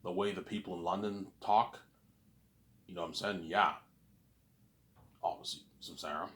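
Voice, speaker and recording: A man's voice: low voice, fairly even intonation, moderate pace, a bit bright. A man from Canada with a mild Canadian accent, talking in a rambling casual monologue that often repeats and restarts words.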